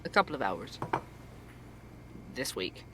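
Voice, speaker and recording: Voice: mid-range voice, big pitch swings, takes it slowly, noisy background. An actor playing a tired, earnest investigative journalist who hosts a podcast, in naturalistic audio drama. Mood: weary.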